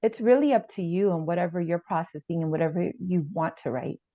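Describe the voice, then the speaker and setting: Woman acting as female therapist, mellow, low-pitched, calm, reassuring, hesitant, echoey room. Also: fairly quick, close to an everyday voice. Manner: reassuring, hesitant, repeats gently. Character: calm, supportive, gentle female family therapist. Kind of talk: improvised role-play of a therapy session. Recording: echoey room, slightly dull sound.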